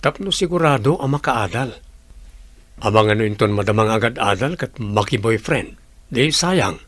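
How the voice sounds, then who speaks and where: A man's voice: on the low side, lively intonation, normal speed, a bit bright, no room sound. A man acting an earnest, guarded young suitor with an Ilocano accent in a radio comedy drama. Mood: earnest, insistent.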